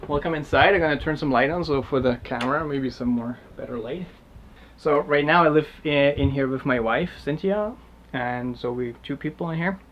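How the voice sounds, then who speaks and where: A man from Germany with a German accent, English his second language, giving a casual halting tour of his tiny house with many fillers. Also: medium pitch, dull audio, a little hiss or hum. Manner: halting, many fillers and restarts, laughs often. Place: small wooden tiny house, indoors and just outside.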